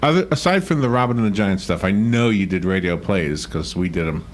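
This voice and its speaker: Male interviewer, fairly low pitch, animated melody, informal radio banter. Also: brisk. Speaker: radio show co-host interviewer. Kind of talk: casual chat.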